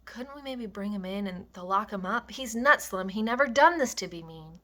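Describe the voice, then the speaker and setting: A woman's voice: mid-range voice, expressive, normal speed, sharp tone. A woman reading the lines of a worried, protective ranch worker, resigned and urgent in turn. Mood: weary.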